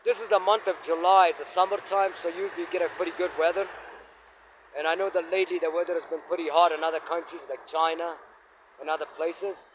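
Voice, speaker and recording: A man in his fifties from Afghanistan, telling his life story to his phone camera while walking in an outdoor park, speaking in a halting, reflective way with many fillers. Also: fairly high pitch, flat delivery, a little hiss or hum, low-quality recording. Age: 50s. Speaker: vlogger. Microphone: phone held facing himself.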